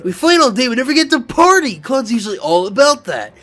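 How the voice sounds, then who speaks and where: A man's voice: very high voice, very animated, fast talker, a bit bright, faint music behind, exaggerated voice. A man playing a hearty, easygoing fighter in a fantasy game, talking in an informal, eager way. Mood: eager, friendly. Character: hearty, easygoing fighter who loves feasting.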